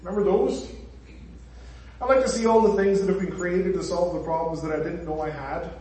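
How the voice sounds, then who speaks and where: A chatty Northern Irish preacher, a man speaking a little high for his sex and tossing jokes and asides to the room. He sounds far from the microphone, and his voice rings around a very echoey church hall. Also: steady tone, a little fast, warm tone, slight background noise. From Northern Ireland. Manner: chatty and joking, with asides to the congregation. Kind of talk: conversational sermon.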